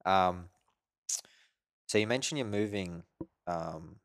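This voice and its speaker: Deep-voiced man hosting a casual podcast. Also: slow pace, a bit bright, dry recording. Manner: informal, curious, short follow-up questions. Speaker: podcast host. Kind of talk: casual interview chat.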